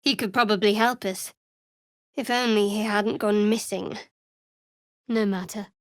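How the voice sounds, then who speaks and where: A woman voicing a guarded, sardonic young cleric of a dark goddess in a fantasy video game, with a dry, wry, measured delivery. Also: medium pitch, steady tone, no room sound, close to an everyday voice.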